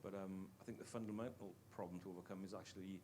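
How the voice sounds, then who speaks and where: A man with a Welsh accent, a longtime teacher, asking an earnest, halting question from the floor at a panel talk. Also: sits low, fairly even intonation, a little mellow, a little hiss or hum. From Wales. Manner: earnest, halting, many restarts. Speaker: audience member, teacher.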